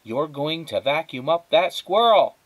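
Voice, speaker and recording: A man's voice: a little high, sing-song, measured pace, pitched above the performer's own voice, rough recording. A man voicing a cynical, stubborn young girl who exclaims indignantly in a children's storybook. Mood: indignant, shouting. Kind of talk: children's storybook read-aloud.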